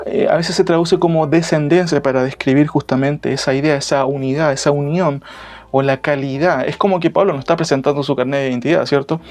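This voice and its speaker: A man's voice: a little high, steady tone, a little fast, darkish tone. A man giving expository Bible teaching in a didactic way, repeating key phrases and often adding short tag questions. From Chile.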